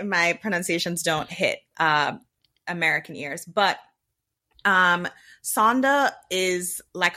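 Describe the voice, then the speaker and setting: Woman, Jamaican accent, podcast co-host, bright tone, lower pitch, casual explaining. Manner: explains at length, laughs often. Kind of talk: casual chat.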